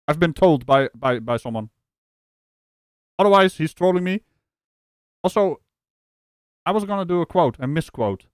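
A man from the Netherlands hosting a live stream, reacting to a clip with animated, mocking commentary and frequent laughs. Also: fairly high pitch, clean tone, no echo, clean studio sound. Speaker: streamer host.

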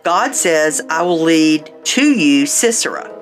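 A woman's voice: deep voice, expressive, slow pace, faint music behind. A woman playing a commanding older prophetess in a biblical radio drama.

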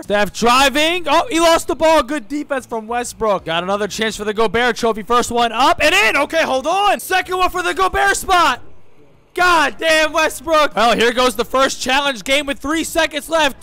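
A young man with a hoarse, raspy voice hosting a gaming channel, giving excitable play-by-play commentary at a studio mic and shouting at the big plays. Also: very high-pitched. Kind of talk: energetic play-by-play game commentary. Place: home streaming room with green screen. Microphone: large studio mic on boom, headphones.

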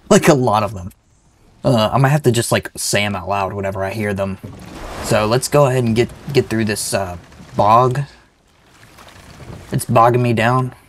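Rambling sick-voiced gameplay host. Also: male voice, lowish voice. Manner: rambling, joking, self-deprecating. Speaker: gaming channel host. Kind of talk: let's play commentary.

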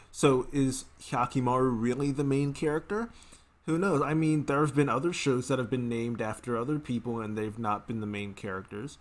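A man in his twenties giving casual reaction commentary as a video host, rambling and often circling back to rephrase. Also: mid-range voice. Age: 20s. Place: small indoor room at home.

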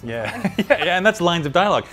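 A man's voice: sits high, sing-song, brisk, a bit bright. Middle-aged man, voice actor, friendly, self-deprecating, faint music. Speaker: voice actor, interview guest. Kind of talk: casual interview chat. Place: backstage room with wooden walls at an awards event.

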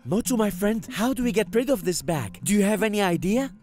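An actor voicing an excitable, jittery skinny young man in a cartoon. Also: high voice, over music, natural voice. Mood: eager. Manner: excitable, quick to panic.

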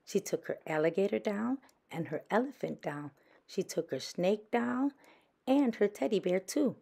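A woman's voice: medium pitch, animated, normal speed, vocal fry, dark tone, breathy edge. A woman storyteller reading a children's picture book aloud.

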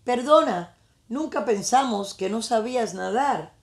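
Playful little pond fish from a storybook, voiced by a woman. They are friendly and eager, pitched up from the reader's usual voice. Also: lively intonation, a little slow, echoey room. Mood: alarmed.

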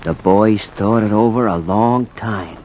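A man's voice: lowish voice, unhurried, natural voice. A man playing a slangy American baseball fan from Brooklyn, spinning a rhymed tale in a theatrical 1940s radio drama. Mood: suspenseful storytelling. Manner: colloquial, theatrical yarn-spinning. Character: slangy streetwise male Brooklyn bleacher fan telling a tale. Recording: muffled, noisy 1940s radio recording.

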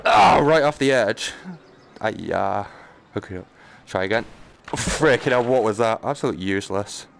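A man's voice: lowish voice, lively intonation, a little slow, creaky. A man giving casual live game commentary, muttering and exclaiming to himself in a jokey, self-deprecating way. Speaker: gaming streamer. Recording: noticeable background noise, game ambience.

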